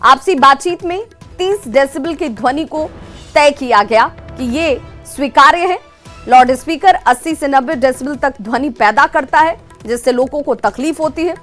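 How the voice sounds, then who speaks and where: A woman's voice: high-pitched, normal speed, a bit bright, faint music behind. A woman anchor presenting TV news in an urgent narrating style.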